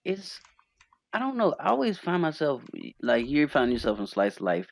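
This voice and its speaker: A man's voice: a little high, wildly swooping pitch, normal speed, creaky, no echo. A man co-hosting a podcast, chatting casually with friends. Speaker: podcast co-host.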